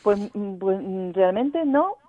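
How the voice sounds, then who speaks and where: A woman's voice: medium pitch, expressive, takes it slowly, warm tone, no echo. Woman on a tinny phone line. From Gran Canaria, Spain. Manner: thoughtful, hesitant with many fillers and restarts. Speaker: novelist, interview guest. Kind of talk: radio interview.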